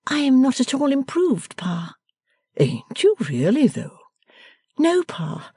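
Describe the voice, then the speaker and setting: A woman's voice: high voice, huge pitch swings, slow pace, warm tone, slightly rough, dry recording, studio quality, pitched above the performer's own voice, exaggerated voice. A woman reader voicing a playful, teasing young woman who talks in an emphatic, theatrical way.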